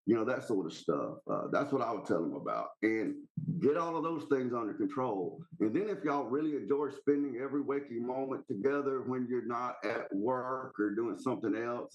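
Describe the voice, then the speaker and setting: A man's voice: medium pitch, lo-fi sound. Blunt folksy Southern man. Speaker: podcast caller. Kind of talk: casual opinionated chat.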